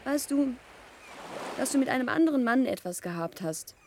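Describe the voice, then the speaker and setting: An actress playing a reproachful, dreamy teenage daughter in a radio drama. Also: female voice, high voice, animated, normal speed, slight background noise.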